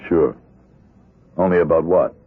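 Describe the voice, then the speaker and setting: An actor playing a weary, guarded middle-aged ex-convict in a radio drama, terse and blunt, with an American accent. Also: male voice, sits low, a little slow, breathy edge, phone-quality sound, heavily acted. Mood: wary. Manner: terse, flat-worded, guarded, world-weary. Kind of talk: old-time radio drama acting. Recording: band-limited radio drama with music.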